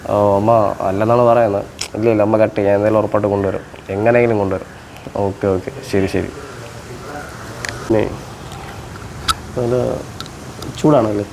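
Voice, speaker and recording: A man's voice: fairly low pitch, level tone, brisk, pauses often, a little mellow, slight background noise, rough recording. A man chatting casually and playfully as a prank host, teasing and repeating his questions insistently.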